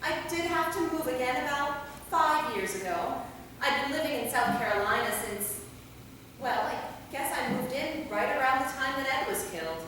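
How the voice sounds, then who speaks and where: A woman playing a warm, chatty centuries-old vampire in a staged play reading, conversational and gently probing. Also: sits high, lively intonation, normal speed. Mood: chatty, teasing. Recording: echoey hall, distant mic, faint noise.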